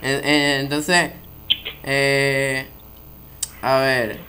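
A man's voice: medium pitch, flat delivery, extremely slow, bright, forceful tone, a little hiss or hum. A man with a Venezuelan accent making a prank phone call in casual talk, hesitant and repeating his words. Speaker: prank caller.

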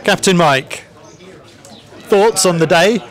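A man's voice: high voice, takes it slowly, fairly bright tone. A man in his forties hosting casual, jokey interviews on an outdoor deck with a handheld microphone. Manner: jokey, bantering. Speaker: vlog host interviewing club sailors. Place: outdoor covered deck at a sailing club. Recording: outdoors with chatter of people around.

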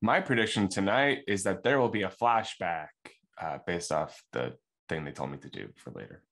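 A man's voice: sits low, lively intonation, moderate pace, a bit bright. A young man casually introducing himself as a guest on an online panel.

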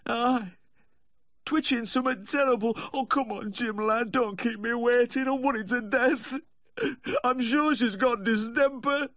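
Shrill, maudlin drunken Yorkshire countryman. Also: big pitch swings, warm tone, no room sound, studio quality. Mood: tearful, distraught. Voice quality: slurred, whining. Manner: pleading, sentimental, drawn-out wailing. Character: maudlin, tearful, drunken little dog-loving countryman. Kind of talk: audiobook character voice.

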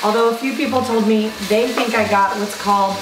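A woman in her forties hosting a cooking show, hoarse from a cold, chatting in a playful, teasing way in a home kitchen. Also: a little high, steady tone, a little fast, noisy background. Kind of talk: casual kitchen chat. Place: home kitchen set, indoors. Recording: roomy, distant sound with background music.

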